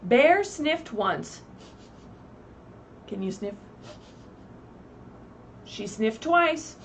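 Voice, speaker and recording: A woman reading aloud expressively at a children's story time, pausing to put simple questions to her young listeners. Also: a little high, animated. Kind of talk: animated read-aloud with asides to young children. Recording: distant mic, faint background noise, livestream.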